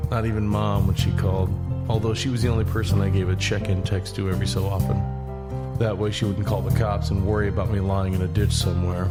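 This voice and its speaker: A man's voice: fairly low pitch, lightly acted. An actor playing an easygoing, weary cross-country walker, narrating his journey in a first-person audio drama. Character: easygoing weary man walking across the country. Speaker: narrator and lead character.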